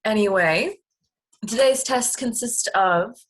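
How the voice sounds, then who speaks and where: Alien commander played by a woman: husky voice, businesslike, short on patience, scheming, script read-through. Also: on the high side, slow, poor audio. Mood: bossy, irritated. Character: exasperated scheming female alien leader studying captured humans.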